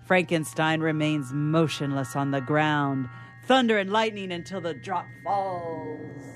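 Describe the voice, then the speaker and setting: A narrator reading stage directions in a formal, measured, dramatic style for radio theatre. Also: medium pitch, steady tone. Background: music.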